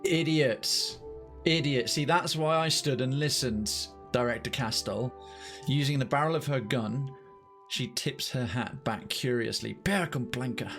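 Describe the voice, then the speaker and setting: Male streamer, mid-pitched, reads game text aloud and thinks out loud, faint game music underneath. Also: a little slow. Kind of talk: reading game narration aloud and commenting.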